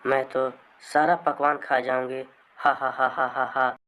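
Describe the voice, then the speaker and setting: A man's voice: mid-range voice, flat delivery, measured pace, fairly bright tone, close to an everyday voice, low-quality recording. A man voicing a gleeful, gluttonous female witch in a cartoon storybook tale, exclaiming and savouring the words. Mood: cackling. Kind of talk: cartoon horror-comedy storybook character.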